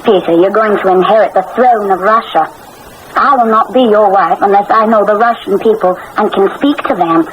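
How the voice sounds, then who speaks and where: A woman's voice: mid-range voice, moderate pace, lightly acted. A woman playing an earnest, headstrong teenage German princess in a radio drama, with a resolute, theatrical delivery. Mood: firm, earnest. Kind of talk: 1980s radio drama acting with first-person narration. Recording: noisy old radio drama broadcast recording.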